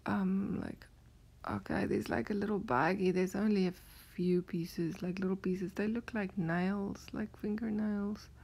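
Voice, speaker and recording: Breathy, gravelly South African woman, half-whispering. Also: medium pitch, fairly even intonation, unhurried. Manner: soft, often half-whispered, hesitant with many fillers. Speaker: vlogger. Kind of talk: rambling recounting of a dream.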